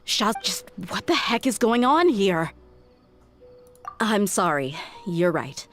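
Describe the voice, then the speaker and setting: An actress playing a tough, frank young desert mercenary in an anime game, blunt and self-assured. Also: female voice, medium pitch, very animated, slow, fairly bright tone, soft background music. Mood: thoughtful.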